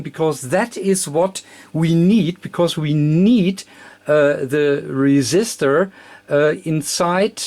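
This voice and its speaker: A man who is a non-native English speaker, giving an unscripted hands-on electronics demonstration in halting, hesitant, fragmented phrases. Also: fairly high pitch. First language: not English. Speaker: electronics tutorial presenter.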